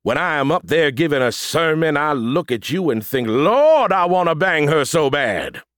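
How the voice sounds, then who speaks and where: Man acting a sham Southern preacher, lecherous, drawling, deepened voice, hammy accent, audiobook comedy, dry sound. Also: sing-song, fairly bright tone, no echo. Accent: exaggerated American Southern. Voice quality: put-on deepened voice. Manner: slow, drawling, smarmy. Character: prankster man posing as lecherous drunken Southern pastor.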